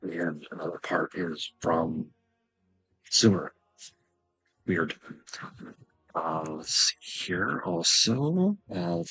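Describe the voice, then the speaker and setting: A man giving casual gameplay commentary as a video host, thinking aloud in a halting mutter to himself. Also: deep voice, gravelly, bright, forceful tone, husky, poor audio.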